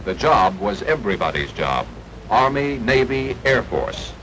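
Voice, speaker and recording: A man's voice: lowish voice, big pitch swings, a little slow, fairly bright tone. An American man narrating a 1940s wartime propaganda film from a script, in a formal, declamatory and emphatic way. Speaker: newsreel-style documentary narrator. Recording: noisy archival 1940s film soundtrack.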